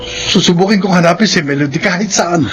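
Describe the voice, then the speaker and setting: Urgent, high-voiced young Filipino. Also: male voice, brisk, a bit bright, soft background music. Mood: troubled. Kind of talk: Filipino radio horror drama acting.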